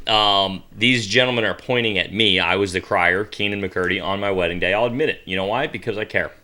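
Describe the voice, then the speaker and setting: A man's voice: on the low side, a little fast, bright, forceful tone. A man hosting a casual podcast with his co-hosts, upbeat and joking, laughing often. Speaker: podcast host.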